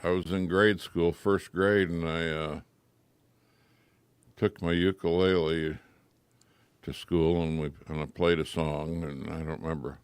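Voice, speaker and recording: A man's voice: sits low, little pitch movement, measured pace, long pauses, clear, resonant tone, dry recording. An older man from rural Washington state in a casual radio chat, talking in a folksy, plainspoken way with short answers. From rural Washington state, United States. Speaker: radio show participant. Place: radio studio.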